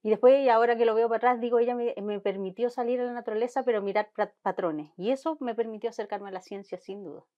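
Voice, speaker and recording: A woman's voice: fairly high pitch, quick pace, few pauses, highs cut off. A Chilean woman marine biologist, speaking thoughtfully in an interview and often restarting as she searches for words. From Chilean Patagonia. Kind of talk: conversational interview.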